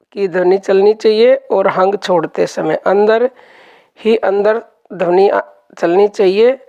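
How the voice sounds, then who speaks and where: A woman guides a meditation session in a medium-pitched voice. She speaks very slowly and calmly, giving step-by-step instructions. Phrases come back in gentle repetition. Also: muffled recording.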